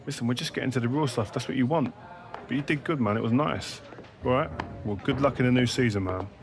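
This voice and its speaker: Friendly, mid-pitched male interviewer actor. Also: fast talker, background noise, lightly acted. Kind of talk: casual game cutscene acting.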